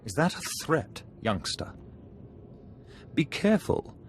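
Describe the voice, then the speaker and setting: An actor playing a stern senior dark lord in a video game, with a formal, cautioning manner. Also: male voice, on the high side, sing-song, slow. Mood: stern, warning. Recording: music under the voice.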